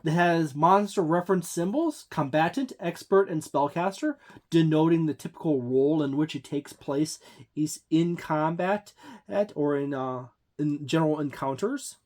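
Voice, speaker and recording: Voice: mid-range voice, moderate pace, darkish tone. A tabletop game reviewer giving a book overview, hesitant, with frequent fillers and restarts.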